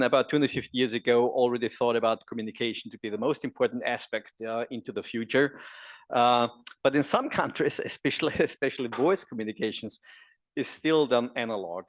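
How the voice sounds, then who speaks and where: A man's voice: mid-range voice, no room sound. A man with an Austrian German accent, a native German speaker, presenting at a conference and joking with the audience. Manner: jokey, engages the audience with questions.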